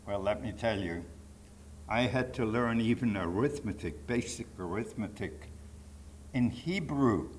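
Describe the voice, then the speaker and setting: An elderly man in his nineties with a Polish accent gives personal testimony to a live audience. He takes his time over each phrase, stressing points and wandering into asides. There is some light noise in the recording. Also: lowish voice. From Poland. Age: 90s. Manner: emphatic, digressive storytelling with rhetorical asides. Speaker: Holocaust survivor giving testimony. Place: public talk to an audience.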